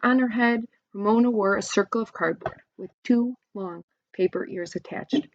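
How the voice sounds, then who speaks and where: Lady reading a kids book out loud, super expressive, bit of vocal fry, dry slightly muffled recording. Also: mid-range voice, moderate pace, no echo. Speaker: teacher reading aloud to children. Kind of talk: expressive children's storybook read-aloud.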